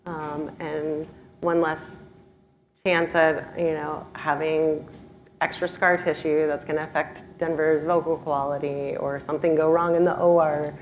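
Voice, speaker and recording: A woman speaking on a panel as a parent, brisk and down-to-earth. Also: low-pitched, flat delivery, a little hiss or hum. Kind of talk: panel discussion answer.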